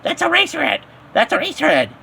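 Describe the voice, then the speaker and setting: A man voicing a frantic, excitable clone inside a boy's head, exclaiming urgently and repetitively, for an amateur audio story. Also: fast talker, vocal fry, exaggerated voice. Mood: frantic alarm. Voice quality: high-pitched exclaiming.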